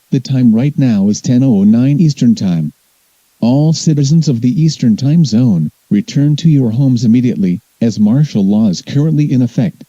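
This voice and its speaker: A man playing a grave US President who delivers a solemn, measured, formal emergency address in an audio drama. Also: mid-range voice, dark tone, dry recording, dull audio, lightly acted.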